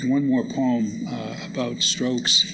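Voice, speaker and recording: A man giving a public lecture, introducing poems and readers in a halting, reflective manner. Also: mid-range voice, fairly even intonation, creaky. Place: indoor public lecture event. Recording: noisy live lecture room recording.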